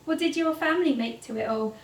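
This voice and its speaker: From a little way off in a roomy theatre, a woman plays an eager interviewer. She speaks in a high voice, asking questions in an earnest, encouraging and courteous way, as part of a stage drama. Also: fast, natural voice. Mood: sympathetic, curious. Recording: distant, roomy, noisy stage recording.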